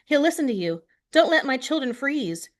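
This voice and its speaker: A woman voicing a tearful middle-aged mother who begs in a high, strained voice. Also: very animated, normal speed. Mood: desperate pleading. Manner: tearful, begging.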